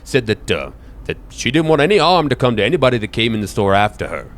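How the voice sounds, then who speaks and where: A man's voice: medium pitch, big pitch swings, fast, natural voice. A man acts out a genial old bookseller for a tabletop game. His voice swings widely up and down as he rambles, hesitates and apologizes in folksy exclamations. There is a faint noise behind him. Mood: rambling, helpful.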